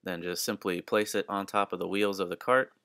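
A man's voice: low-pitched, fairly even intonation, fairly quick, a bit bright, clean tone, no echo, studio quality. A man presenting a fishing channel, giving plain step-by-step instructions with frequent filler sounds. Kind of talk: instructional how-to narration. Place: outdoors on a home driveway.

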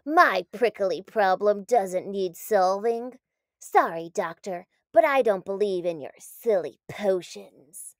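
A woman's voice: medium pitch, takes it slowly, no echo, studio quality, natural voice. A woman voicing a rude, grumpy male cactus in a children's storybook, sneering and scoffing as he drags out his insults. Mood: scornful. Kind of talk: children's storybook read-aloud.

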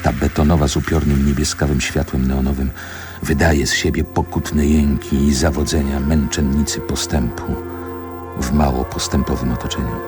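An older male actor playing a reflective poet recalling his youth in a radio drama, speaking in a measured literary manner. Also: very deep voice, steady tone, over music. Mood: nostalgic, reflective. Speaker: narrator and protagonist.

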